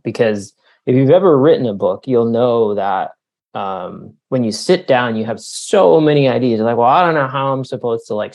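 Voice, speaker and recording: A man's voice: mid-range voice, creaky, darkish tone. A man from Canada answering interview questions in a conversational, explanatory way, thinking aloud with lots of "you know" and "like". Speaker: author being interviewed.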